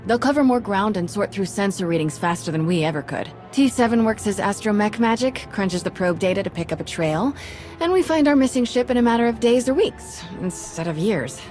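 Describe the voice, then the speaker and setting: A woman voicing a brisk, reassuring fighter. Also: mid-range voice, expressive, a little mellow, soft background music, close to an everyday voice. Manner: brisk and reassuring, lightly joking. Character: upbeat, practical woman fighter who reassures her companions.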